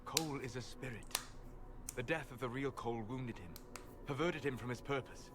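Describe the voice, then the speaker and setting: Game role: male actor as learned mage, formal, measured, didactic, mid-pitched, clear noise behind. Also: lightly acted. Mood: grave, insistent.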